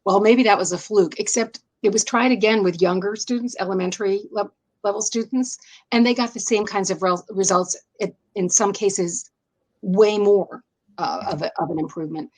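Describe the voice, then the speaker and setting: An American woman with a mid-pitched voice speaks as a guest in an interview. She explains her points in a conversational, thoughtful way, often qualifying and rewording them. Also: moderate pace, a little mellow, low-quality recording. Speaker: interview guest, education writer.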